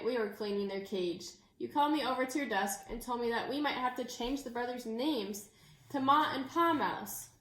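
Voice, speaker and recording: Echoey, higher-voiced woman reading a picture book. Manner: animated storytelling with asides to young listeners. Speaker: teacher reading aloud to second graders. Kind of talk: expressive children's picture-book read-aloud. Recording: echoey room.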